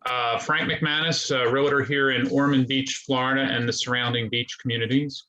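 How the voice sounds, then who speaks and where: A male realtor introduces himself on a video call. His voice is medium in pitch with a bright, crisp-edged, forceful tone. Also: fairly even intonation, normal speed, rough recording. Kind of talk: self-introduction in a meeting.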